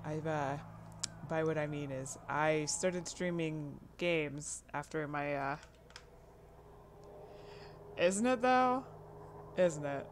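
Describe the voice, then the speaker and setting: Husky-voiced woman chatting on stream. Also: low-pitched, takes it slowly, long pauses, slight background noise. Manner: rambling, trails off and restarts, laughs often; chats to an online audience. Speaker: live streamer and artist. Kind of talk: casual live-stream chat.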